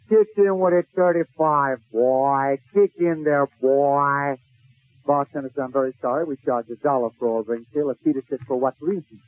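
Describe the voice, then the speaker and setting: Male 1940s radio comic, highish voice, storyteller doing dialogue, thin vintage broadcast sound. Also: no room sound. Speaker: comedian. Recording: tinny narrowband old radio broadcast.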